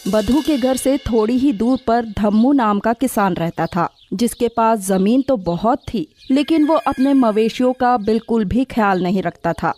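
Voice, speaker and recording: A woman's voice: fairly high pitch, a little slow, hardly any pauses, a little mellow, soft background music. A woman narrator reading a scripted moral story for children.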